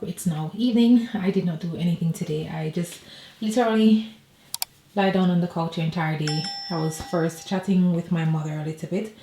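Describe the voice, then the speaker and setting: A woman's voice: fairly low pitch, vocal fry, warm tone, roomy sound, slight background noise. A woman vlogger chatting casually and rambling to her viewers from home. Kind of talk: casual personal vlog chat.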